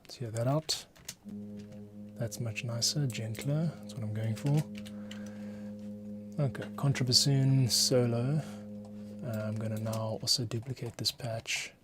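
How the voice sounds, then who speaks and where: Composer narrating own work: casual, muttered, self-directed, mid pitch, music underneath. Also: steady tone, unhurried. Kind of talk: casual thinking aloud while working.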